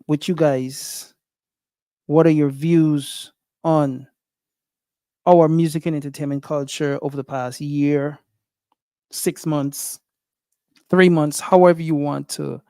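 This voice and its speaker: Guyanese-accented man, livestream host, unhurried, clear and fairly high voice, lots of ums. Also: warm tone, dry recording, studio quality. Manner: thinking aloud with many fillers and restarts. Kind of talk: casual livestream talk.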